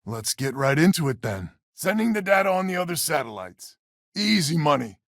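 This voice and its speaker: A man's voice: on the high side, sing-song, normal speed, vocal fry, a bit bright, no room sound, clean studio sound, exaggerated voice. A man voicing a weary, stubborn space settler leader in a video game, plain-spoken and sardonic with a folksy manner. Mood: businesslike. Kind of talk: video game character dialogue.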